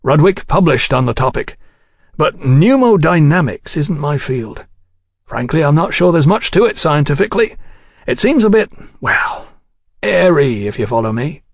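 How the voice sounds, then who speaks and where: Guy voicing a learned scientist in an audiobook, wry n doubtful, bit gravelly, very dry room. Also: fairly high pitch, very animated, normal speed, dry recording, voice pushed up, full character voice. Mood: sceptical. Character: gruff, sceptical, learned male English field scientist.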